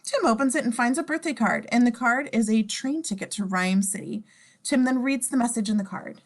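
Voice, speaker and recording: Brief: female narrator for a casual script reading, higher voice, informal delivery with wry streak. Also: brisk. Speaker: scene description reader.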